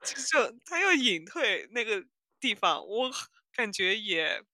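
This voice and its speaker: A woman chats casually as a podcast co-host. Her voice is bright and forward at an average pitch, recorded very dry. She talks in a giggly, conversational manner. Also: sing-song, takes it slowly, no room sound. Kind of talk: casual chat.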